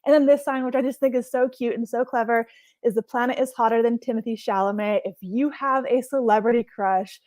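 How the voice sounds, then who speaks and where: A woman's voice: fairly high pitch, fairly even intonation, brisk, a little mellow, no echo. A young adult woman raised in Hawaii, a marine scientist giving a chatty, enthusiastic online workshop talk and laughing often. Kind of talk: casual online workshop presentation.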